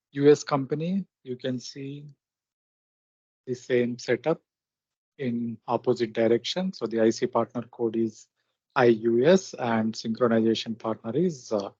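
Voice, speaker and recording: A man with a mellow voice presents a software walkthrough at a slow pace. He goes step by step in short, halting phrases. The recording is dry and close. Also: mid-range voice, no room sound. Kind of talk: step-by-step screen demonstration narration. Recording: dull, lo-fi close microphone recording.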